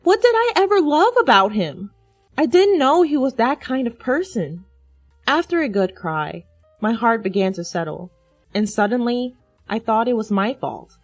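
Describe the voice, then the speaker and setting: A woman's voice: on the high side, very animated, moderate pace, dry recording, faint music behind. A woman reading a scripted first-person dramatic story as its narrator.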